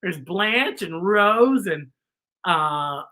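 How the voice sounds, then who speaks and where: A woman's voice: lowish voice, animated, drawn-out words, sharp tone. A woman hosting a children's story time in a playful, theatrical, giggly manner, teaching letters. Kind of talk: playful children's show presenting with letter lessons and singing.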